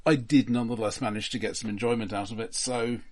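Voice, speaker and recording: A man co-hosting a film podcast, speaking casually in a measured, halting way. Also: mid-range voice, fairly even intonation, a little mellow.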